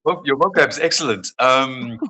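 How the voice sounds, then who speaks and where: A man's voice: mid-range voice, moderate pace, sharp tone, low-quality recording. A man chatting as a football coach in a sports interview, emphatic and repeating his phrases. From South Africa. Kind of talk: casual sports interview chat.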